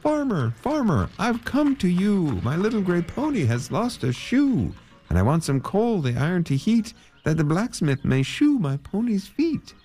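A man's voice: high-pitched, huge pitch swings, measured pace, mellow, faint music behind, voice pushed up, full character voice. A man voicing an anxious pony owner who pleads in a sing-song refrain. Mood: fretful pleading. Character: fretful man who lost his pony's shoe.

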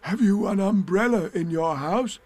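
A man voicing an earnest, slow-witted, thoughtful toy bear in a children's storybook, with a ponderous, deliberate delivery. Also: high-pitched, darkish tone, pitched above the performer's own voice. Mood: earnest planning.

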